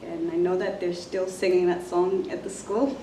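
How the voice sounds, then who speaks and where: A woman speaks softly and haltingly, filling her pauses with ums. Her voice sits low and mellow with little rise and fall. She sounds set back from the mic in an echoey room with a faint hiss. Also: little pitch movement. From Zimbabwe. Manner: soft-spoken, halting, many fillers. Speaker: musician. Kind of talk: casual self-introduction to camera. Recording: roomy, distant mic, faint noise.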